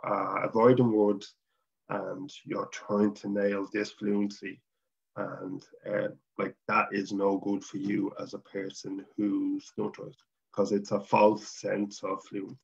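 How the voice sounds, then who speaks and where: A man's voice: on the low side, creaky, a little mellow, lo-fi sound. A middle-aged Irish man who stutters, speaking as a guest on a video call from home. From Ireland. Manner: stutters, with repeated sounds and blocks. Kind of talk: casual conversation. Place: home room.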